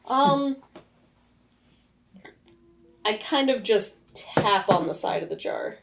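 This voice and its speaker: A woman's voice: mid-range voice, animated, very slow, gravelly, background noise. A woman player in a tabletop roleplaying game, casually improvising as her character and describing her actions.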